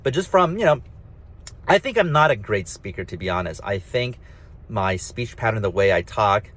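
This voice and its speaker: A man's voice: on the low side, lively intonation, normal speed, a bit bright. A man hosting a solo talk, rambling casually with a nasal, slightly mumbly voice, lots of fillers and emphatic asides. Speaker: host.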